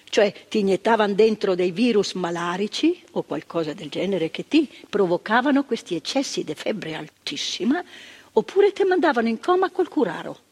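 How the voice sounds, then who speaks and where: An actress playing an older woman who once worked in a psychiatric hospital, telling her memories, dropping to hushed tones and rising to emphatic outbursts. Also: mid-range voice, slightly rough. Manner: vivid storytelling, swinging from hushed to emphatic outbursts. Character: older woman, former asylum ward worker, indignant. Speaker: monologue performer. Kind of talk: stage monologue.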